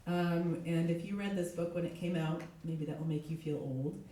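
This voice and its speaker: Hoarse woman reading in a very echoey room. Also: fairly low pitch, steady tone, normal speed, mellow, slight background noise. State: hoarse from a cold. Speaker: literary translator giving a reading. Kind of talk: live literary reading aloud with short spoken introduction. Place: echoey indoor event space. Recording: very echoey room, distant mic.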